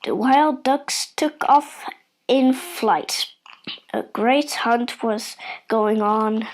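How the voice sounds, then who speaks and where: A woman reading a children's bedtime story in a deliberate, expressive narration. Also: on the high side, rough recording.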